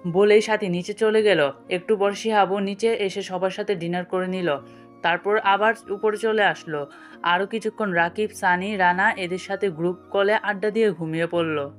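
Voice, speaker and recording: A woman's voice: medium pitch, steady tone, moderate pace. A woman reading a scripted story as a narrator, with dialogue between characters. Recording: music behind the voice. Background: background music.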